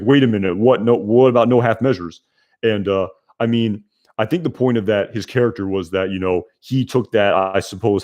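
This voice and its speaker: Loud, rambling young male streamer. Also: on the low side. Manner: rambling, many fillers and restarts. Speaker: YouTube reviewer hosting a live stream. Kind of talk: casual live-stream chat reading comments.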